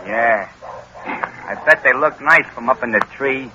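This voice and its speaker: Wary uneducated henchman for radio serial, very forceful tone, faintly noisy old recording. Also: mid-range voice, unhurried, muffled recording. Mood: eager, obedient. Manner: slangy, rough grammar. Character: uneducated gangster henchman, obedient but wary. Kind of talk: old-time radio acting.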